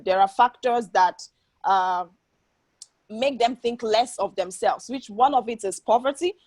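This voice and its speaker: A woman's voice: fairly high pitch, dry recording. Woman explaining earnestly, close dry sound. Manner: often tags statements with a questioning "right?". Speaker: interview guest, founder of a women's organization. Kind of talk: earnest explanatory interview answer.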